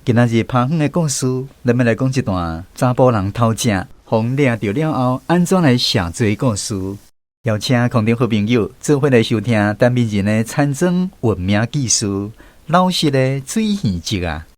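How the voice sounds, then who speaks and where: A man's voice: medium pitch, expressive, moderate pace, a little mellow, no room sound. A man hosting and narrating a radio drama episode in a storytelling manner. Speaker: host and narrator.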